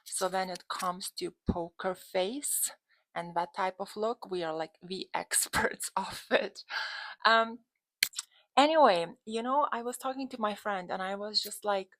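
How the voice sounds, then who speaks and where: A woman's voice: mid-range voice, a little slow, a bit bright, a little hiss or hum, low-quality recording. A woman from Lithuania with a Lithuanian accent, talking casually to camera as a coach in an emphatic, conversational way. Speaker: dating and relationship coach, channel host.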